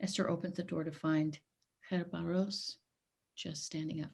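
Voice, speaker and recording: A woman's voice: on the low side, steady tone, normal speed, gravelly, a little mellow, slightly rough. A woman hosting a play reading and narrating scripted stage directions. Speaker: host and stage direction reader.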